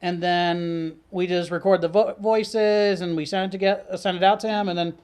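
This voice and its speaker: A man's voice: high voice, fairly even intonation, normal speed, a little mellow, clean tone. An American man talks as a guest on a chat show. His delivery is informal and conversational, with laid-back phrasing. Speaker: talk show guest, cartoon creator. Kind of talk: casual chat.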